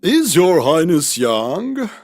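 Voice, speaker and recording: Man playing a slow, stately storybook king. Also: a little high, very animated, darkish tone, full character voice. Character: regal king of a fairy tale. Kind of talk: children's fairy tale cartoon acting. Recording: long hall reverb, faint music behind.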